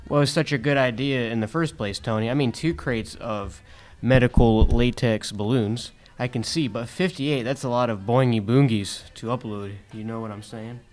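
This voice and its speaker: An actor playing a wordy, slightly dim mobster deputy in a comedic audio drama. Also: male voice, mid-range voice, moderate pace, a little mellow, close to an everyday voice. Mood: doubtful, rambling. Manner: wordy, questioning wiseguy talk.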